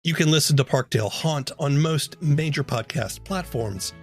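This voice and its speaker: A man's voice: fairly high pitch, normal speed, slightly rough, soft background music. A man hosting a podcast, reading scripted narration. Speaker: podcast host.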